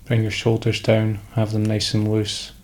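A man leads a guided sleep meditation. His voice sits on the low side and stays extremely level, with almost no melodic movement. He gives calm instructions in short phrases, leaving pauses between them.

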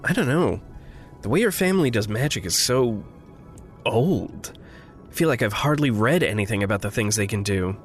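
A man acting in a naturalistic audio drama as a gentle, wry confidant with a halting, playful way of talking. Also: on the low side, lively intonation, faint music behind, lightly acted.

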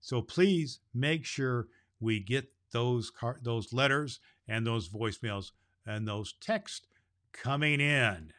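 A man's voice: mid-range voice, bright, forceful tone. A man hosting a children's podcast in the role of Santa Claus, reading a storybook chapter aloud with grandfatherly chuckles.

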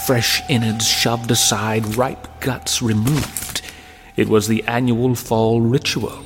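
An actor playing an ominous fireside storyteller, giving scripted horror narration in a deliberate, sinister way. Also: male voice, medium pitch, steady tone, faint music behind.

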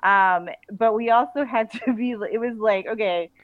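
A woman author talking casually as a podcast guest, halting and chatty, often saying like. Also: fairly high pitch, no echo. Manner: halting and chatty, frequently says like. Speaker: guest author and audio drama writer. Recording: dull lo-fi audio.

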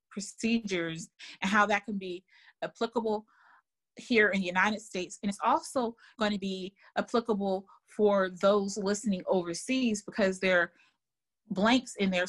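A woman's voice: mid-range voice, a bit bright. A woman who grew up in Germany, speaking as an author and guest in a podcast interview, earnest and often repeating words as she thinks.

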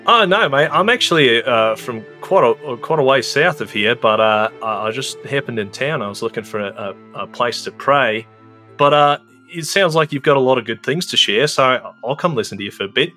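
A man's voice: medium pitch, animated, moderate pace, pushed and bright, soft background music. A man, playing his character politely and hesitantly in a tabletop game. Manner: hesitant, polite, lots of fillers. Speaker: player. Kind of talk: improvised tabletop roleplay in character.

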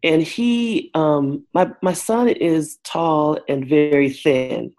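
A woman in her forties with a deep voice, talking frankly as an interview guest who works with couples. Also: slow. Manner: frank and expressive, switches into lively quoted voices. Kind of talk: candid conversational interview.

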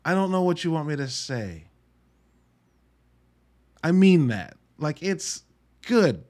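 A man hosting a podcast, giving an informal, wry solo review in an emphatic, halting way with drawn-out words. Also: fairly high pitch, sing-song, a little mellow. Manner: informal, wry, mildly profane. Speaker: podcast host reviewing films. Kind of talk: casual solo review monologue.

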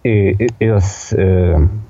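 An eighteen-year-old man with a Polish accent, giving casual interview answers in a hesitant, halting way with repeated words. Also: deep voice, steady tone, dark tone, no echo, muffled recording. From Poland. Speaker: podcast guest, dance instructor.

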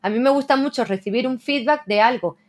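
A woman's voice: high-pitched, fairly quick. A woman translator talking reflectively in a casual interview, often tagging her sentences with a questioning "¿no?". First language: Spanish. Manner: reflective, frequent "¿no?" tags.

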